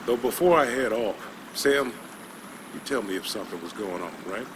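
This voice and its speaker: A man playing an older, stubborn, protective father in a video game drama, talking in a gruff, folksy, grumbling way. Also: fairly low pitch, expressive, normal speed, background noise, lightly acted. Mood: pleading. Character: stubborn, protective old father who cannot walk.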